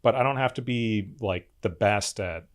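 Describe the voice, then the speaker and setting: A man's voice: fairly low pitch, normal speed. A man in his thirties from Wisconsin with a Midwestern accent, a podcast guest chatting casually and telling stories full of filler words. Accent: Midwestern American. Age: 30s. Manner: relaxed storyteller, many filler "like"s. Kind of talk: casual chat.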